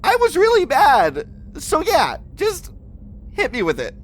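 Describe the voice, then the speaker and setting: A man's voice: extremely high pitch, huge pitch swings, measured pace, heavily acted. A man playing an earnest, insecure, rambling rookie space agent in an audio drama. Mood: anxious, apologetic. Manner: nervous, rambling, apologetic.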